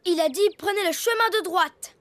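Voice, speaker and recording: A woman's voice: extremely high pitch, moderate pace, fairly bright tone. A woman voicing a young boy turtle who plays a gallant, boastful knight in a cartoon, earnest and self-important. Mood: confident. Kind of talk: animated cartoon dubbing.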